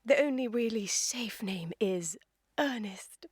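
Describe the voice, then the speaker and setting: High, poised young English society lady. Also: lively intonation, slow pace, husky, no room sound, studio quality, heavily acted. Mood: assured adoration. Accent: upper-class English. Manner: poised, precise, affected. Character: self-assured, romantic young upper-class English lady. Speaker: actor in a radio play. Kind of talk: theatrical comedy-of-manners radio acting.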